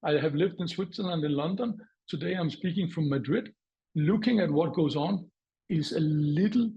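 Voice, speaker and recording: A man's voice: a little high, creaky, a little mellow, husky, dull audio. A man from Denmark, a portfolio manager giving market commentary on a webinar over a video call, thoughtful and prone to restarting phrases, with light laughs. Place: home or office, remote.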